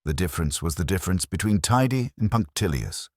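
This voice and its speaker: Male solo narrator for detective drama: bass-deep, husky, dryly witty and formal, expressive intonation. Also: no echo. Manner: measured, dry, wry, formal delivery. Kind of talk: scripted literary narration of a mystery drama.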